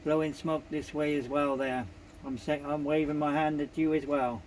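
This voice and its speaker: A man's voice: on the high side, level tone, moderate pace, darkish tone. A man from the United Kingdom making polite CB radio calls, repeating his call signs and phrases. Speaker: CB radio operator. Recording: noisy CB radio recording. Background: radio noise.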